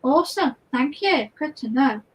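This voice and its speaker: Hoarse woman guest artist, high-pitched, casual and halting as she paints. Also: animated, rough recording. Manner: chatty, halting, repeats words. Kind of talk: casual chat while painting live.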